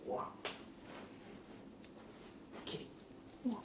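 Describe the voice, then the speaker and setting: A man hosting an ASMR livestream, chatting casually and playfully in a soft voice that often slips into whispers. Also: extremely slow, noisy background. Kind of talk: ASMR, casual chat to viewers and a pet cat.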